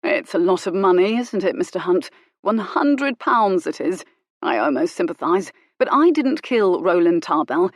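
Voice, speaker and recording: A woman voicing a gentleman hero who threatens with glacial politeness. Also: lowish voice, big pitch swings, normal speed, dry recording, clean studio sound. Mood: icy menace. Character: cold, menacing young gentleman hero, icily polite.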